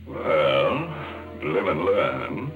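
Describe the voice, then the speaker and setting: A man's voice: very deep voice, big pitch swings, extremely slow. A man hosting a 1940s radio show in a wisecracking, macabre, teasing manner. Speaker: host. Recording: narrow tinny old radio, music behind.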